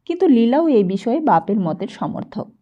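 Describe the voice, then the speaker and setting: A woman's voice: on the high side, very animated, moderate pace, highs cut off. A woman reading a literary short story aloud as scripted audiobook narration.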